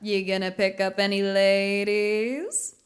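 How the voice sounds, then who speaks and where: A woman playing a middle-aged ewe, a mellow hippie mother who teases in a laid-back way, in an audio drama. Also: medium pitch, steady tone, slow, natural voice. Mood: teasing. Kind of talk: audio drama character acting.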